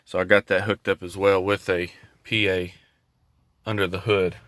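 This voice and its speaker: A man's voice: deep voice, flat delivery, normal speed, a bit bright, no echo, clean studio sound. A man giving a casual, hands-on video walkthrough in a laid-back, folksy way. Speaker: hobbyist vlogger showing a truck install.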